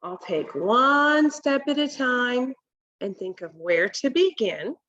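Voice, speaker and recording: A woman in her thirties reading a picture book aloud to children, deliberate and instructional, pausing to explain and ask questions. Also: a little high, very animated, a bit bright, dull audio. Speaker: read-aloud presenter for children. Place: home kitchen, indoors.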